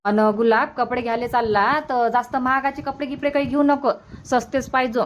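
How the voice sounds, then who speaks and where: A woman's voice: sits high, fairly even intonation, a little fast, a little hiss or hum, natural voice. A woman voicing a thrifty, nagging older village mother in a cartoon comedy, with colloquial Vidarbha Marathi.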